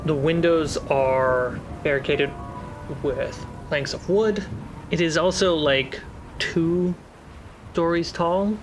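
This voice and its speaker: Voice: medium pitch, steady tone, slow, faint music behind. A game master narrating a tabletop role-playing game in casual, descriptive phrases.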